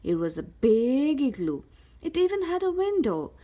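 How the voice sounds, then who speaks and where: A woman reading a children's picture book aloud as a storyteller, with careful, expressive delivery. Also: high-pitched, normal speed, highs cut off. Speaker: host of a read-aloud story channel.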